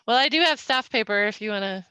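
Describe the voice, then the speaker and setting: A woman's voice: on the high side, lively intonation, fast talker, pushed and bright, clean tone, no echo, poor audio. A middle-aged woman from Utah chatting casually on a podcast, laughing often. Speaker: podcast guest. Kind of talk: casual chat.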